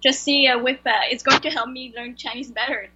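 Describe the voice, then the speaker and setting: A woman's voice: high-pitched, flat delivery, fairly quick, sharp tone, husky, muffled recording. A woman whose first language is Vietnamese, speaking English with a French accent, hesitantly answering interview questions as a guest over a video call. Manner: hesitant, searching for words. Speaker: guest translator.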